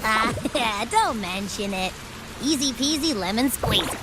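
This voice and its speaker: A little game critter, very high and animated, childlike and cheeky. It talks in a playful, casual way without hurrying, with clear rainy noise behind it. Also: female voice, unhurried. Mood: cheerful. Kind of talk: video game voice acting.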